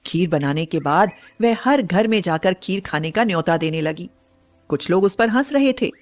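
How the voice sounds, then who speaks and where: A woman's voice: fairly high pitch, a little mellow. A woman narrating a scripted bedtime story as a storyteller.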